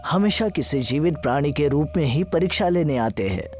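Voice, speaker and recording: A man's voice: on the high side, animated, normal speed, a little mellow, faint music behind, close to an everyday voice. A man voicing a solemn, benevolent sea god in a cartoon moral story, speaking in stately pronouncements. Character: sea god in human speech, solemn, benevolent male deity.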